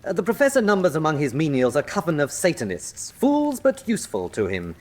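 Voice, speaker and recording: An actor playing an urbane, sardonic villainous colonel in a radio drama, suave, theatrical and mocking in manner. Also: male voice, a little high, huge pitch swings, a little fast. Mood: sardonic, courteous.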